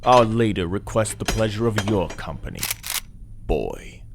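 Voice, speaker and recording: A woman playing a menacing, mocking, gravelly-voiced henchwoman in an audio drama. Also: rumbling low, very animated, unhurried, background noise, exaggerated voice.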